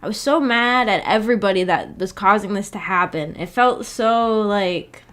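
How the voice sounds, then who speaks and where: A woman's voice: mid-range voice, normal speed, a bit bright. Woman chatting casually, thinking aloud. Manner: hesitant, rambling, thinking aloud. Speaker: livestream host. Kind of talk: casual livestream chat.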